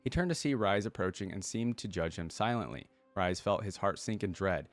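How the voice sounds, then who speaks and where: Man, low voice, expressive fantasy reading, casual asides, dry close sound. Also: fairly quick, no echo. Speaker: reaction video host reading a fantasy story aloud.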